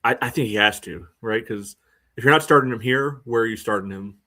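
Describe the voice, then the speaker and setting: A man co-hosting a sports podcast, giving casual soccer analysis in loose, halting, conversational phrases. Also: on the low side, bright, forceful tone.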